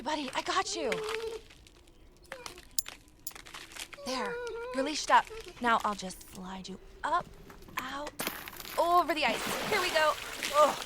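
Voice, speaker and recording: A woman's voice: sits high, background noise. A woman playing a plucky, wry young former journalist in an audio drama, speaking conversationally. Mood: frantic. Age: young adult. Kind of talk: first-person audio drama narration and acting.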